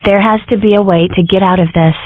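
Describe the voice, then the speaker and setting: A spirited, wry girl fox, voiced by a woman speaks at a middling pitch with a sardonic edge. This is a comic-strip dub. The sound is dry and tinny, as if heard over a phone. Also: fast, no room sound, close to an everyday voice. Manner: sardonic and lively.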